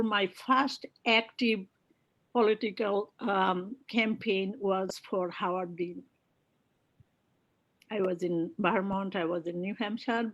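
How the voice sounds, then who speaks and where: A middle-aged woman from Bangladesh, a political activist who tells her personal story in an earnest, halting way with many fillers and restarts. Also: mid-range voice, lo-fi sound. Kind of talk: personal story in a virtual panel.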